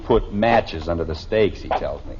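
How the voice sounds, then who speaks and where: A man's voice: sits low, lively intonation, normal speed. An actor with an American accent playing a harried, exasperated middle-aged father in an old radio sitcom. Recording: muffled vintage radio, noise, studio audience.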